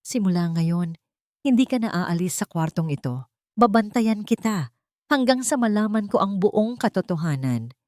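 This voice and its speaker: A woman's voice: mid-range voice, expressive, normal speed, darkish tone, no room sound, clean studio sound, voice pushed up, close to an everyday voice. A woman voicing a stern, disappointed man, a mafia boss, in a firm, commanding manner in a melodramatic love story. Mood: stern, hurt.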